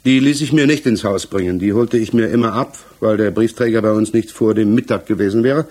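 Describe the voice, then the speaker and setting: Guarded village businessman, low husky voice, subdued, evasive, radio crime drama. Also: fairly quick, a little mellow. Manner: guarded, matter-of-fact answers. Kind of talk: 1960s German radio play acting, interrogation dialogue.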